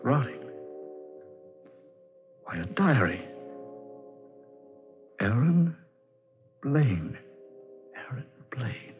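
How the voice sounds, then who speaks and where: An actor playing a tormented, weary man with a halting, intense delivery in 1940s radio style. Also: mid-range voice. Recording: dull 1940s radio recording, music behind.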